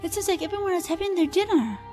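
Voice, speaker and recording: A woman playing a fiery, headstrong young adventurer in an audio drama, speaking forcefully in formal phrasing. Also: extremely high pitch, lively intonation, fast talker, faint music behind. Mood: impatient.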